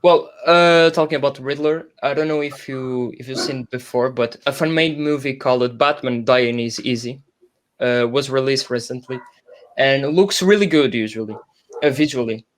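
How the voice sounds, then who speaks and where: Guy co-host w a middle pitched voice, asking stuff kinda haltingly on a casual stream. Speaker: co-host, interviewer. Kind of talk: casual livestream interview questions.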